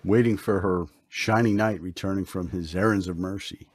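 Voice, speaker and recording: A man acting in a radio drama as a mysterious, gentle, fatherly holy stranger who speaks in solemn, measured, old-fashioned biblical phrases. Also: deep voice, fairly even intonation.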